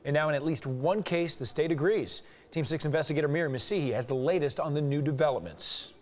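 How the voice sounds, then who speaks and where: Higher-pitched man anchoring TV news. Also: fast. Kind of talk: scripted news introduction.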